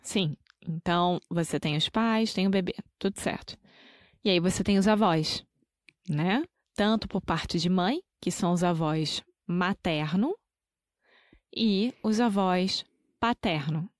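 A woman's voice: lowish voice, measured pace, no room sound, clean studio sound. A Brazilian woman co-hosting a casual podcast that teaches Portuguese, who laughs often and pronounces words carefully. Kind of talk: casual language-teaching chat.

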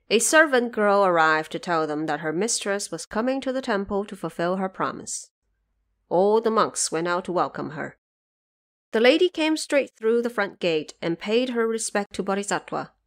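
A woman narrating an audio drama story from a script. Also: mid-range voice.

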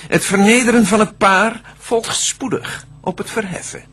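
An actor playing a cunning, smooth-tongued devil in a Dutch verse radio drama, declaiming deliberately with a husky voice. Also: male voice, sits high, steady tone, fairly bright tone. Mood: slyly plotting. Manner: theatrical, scheming, deliberate declamation. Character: cunning, smooth-tongued male devil plotting to tempt mankind.

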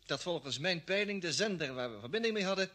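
A sceptical, plain-spoken spaceship crewman in an old radio play. He talks quickly in a bright, forceful voice, blunt and colloquial. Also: sits high, lively intonation, full character voice. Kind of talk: vintage Dutch radio drama acting.

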